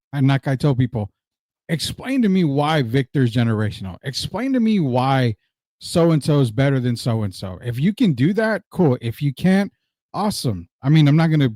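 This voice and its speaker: A man chatting casually about sports on a podcast, relaxed and even-tempered, laughing often. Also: mid-range voice, no echo.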